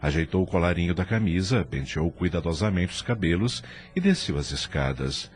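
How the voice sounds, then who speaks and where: A man narrating an audio drama from a script in an expressive, literary storytelling manner. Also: sits low, moderate pace, a little hiss or hum.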